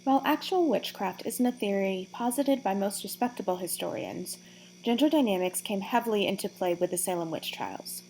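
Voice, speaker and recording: A woman's voice: mid-range voice, expressive, moderate pace, creaky, a little mellow, rough recording. A woman reading scripted history narration as a podcast narrator. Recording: hum and noise, home recording.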